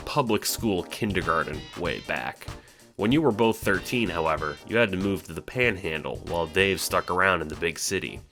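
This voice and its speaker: A man's voice: low voice, gravelly, pushed and bright. A man delivering scripted second-person comedic narration as the narrator of an audio drama.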